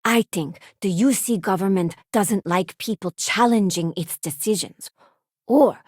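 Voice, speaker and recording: Female actor for an idealistic young space settler, video game companion: friendly, earnest, expressive, very dry studio sound. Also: medium pitch, unhurried, no room sound, close to an everyday voice. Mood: earnest opinion. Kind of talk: scripted video game character voice lines.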